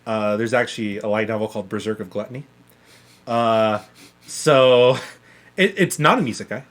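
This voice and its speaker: A man's voice: lowish voice, moderate pace. A man in casual, rambling podcast conversation as a co-host, often repeating his words. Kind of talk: casual chat about light novels.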